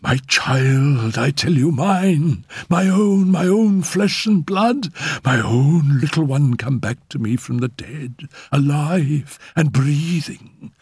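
Man acting a hoarse, desperate grieving mother. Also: a little high, expressive, a little mellow, no echo, clean studio sound, pitched above the performer's own voice, full character voice. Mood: fierce possessive. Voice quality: hoarse, whispery. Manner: drawn-out, intense, imploring. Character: obsessed grieving middle-aged mother, savage with longing.

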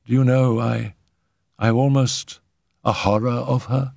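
A man's voice: mid-range voice, little pitch movement, a little slow, creaky, darkish tone, no echo, clean studio sound, pitched above the performer's own voice. A man voicing an exhausted, anxious woman who pleads with agitated, emphatic repetition in a dramatic story reading. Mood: uneasy, dreading. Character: anxious, exhausted, high-strung Frenchwoman, a spirit medium.

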